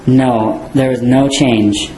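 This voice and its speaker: A man's voice: medium pitch, fairly even intonation, slow, a little mellow, a little hiss or hum. A man playing a citizenship applicant, giving short, plain answers. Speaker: applicant in a mock interview. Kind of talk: scripted role-play. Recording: echoey room.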